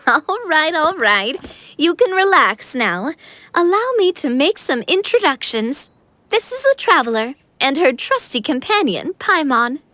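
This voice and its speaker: A woman's voice: high-pitched, big pitch swings, a little slow, bright, forceful tone, no room sound, heavily acted. A woman voicing a cheerful, confident young leader in an anime game, breezy, theatrical and upbeat. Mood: amused.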